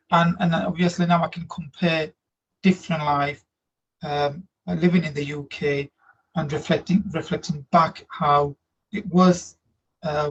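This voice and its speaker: A man in his thirties from Slovakia with a Slovak accent, answering interview questions earnestly in halting English. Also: fairly high pitch. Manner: earnest, reflective, halting non-native grammar. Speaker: guest, migrant workers support officer. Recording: dull lo-fi audio.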